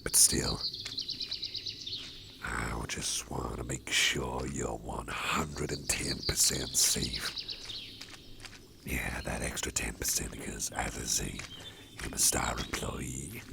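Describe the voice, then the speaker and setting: A man playing a whispering, gravelly softie boss. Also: rumbling low, fairly even intonation, slow, long pauses, very noisy, exaggerated voice. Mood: gentle. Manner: soft, close whispering with chuckles. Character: protective, soft-hearted male boss. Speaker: ASMR roleplay performer. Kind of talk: ASMR personal attention roleplay.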